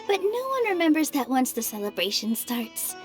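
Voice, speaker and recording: A gentle young theater dancer with a fairly high, soft-spoken voice. She is polite, modest and a little apologetic. Faint game music plays underneath. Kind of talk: video game voice acting.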